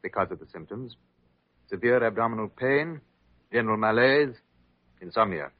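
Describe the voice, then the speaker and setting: An actor playing a guarded, insistent gentleman in an old radio drama, speaking formally and precisely. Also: lowish voice, lively intonation, normal speed. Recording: dull vintage radio recording.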